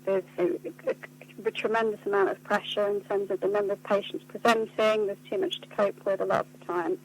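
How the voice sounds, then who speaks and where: A woman's voice: medium pitch, flat delivery, fairly quick, rough recording. A woman being interviewed on the radio, explaining things in an articulate, earnest way. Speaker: charity communications officer being interviewed. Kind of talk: spoken radio interview answers.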